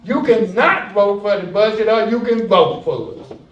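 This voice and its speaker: A man's voice: sits high, normal speed. A man moderating a candidate forum in a loose, chatty, joking way. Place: community recreation center hall. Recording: echoey hall from far off, dull.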